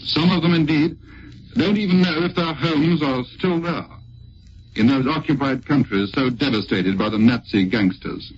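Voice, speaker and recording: A British man presenting a scripted 1940s wartime radio broadcast in a measured, formal manner. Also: on the high side. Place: radio studio. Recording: muffled, noisy 1940s radio recording.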